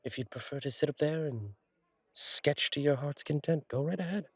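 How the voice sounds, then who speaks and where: A man playing a friendly, courteous angel bartender in an intimate roleplay audio, speaking gently with thoughtful pauses. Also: fairly high pitch, husky, dry recording. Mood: warm, reassuring. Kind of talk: intimate roleplay audio acting, one side of a conversation.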